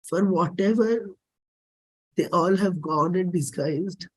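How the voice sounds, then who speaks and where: A performer with an Indian accent and a husky voice, giving a dramatic, deliberate reading of a personal play script. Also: mid-range voice, low-quality recording. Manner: measured, deliberate, theatrical phrasing.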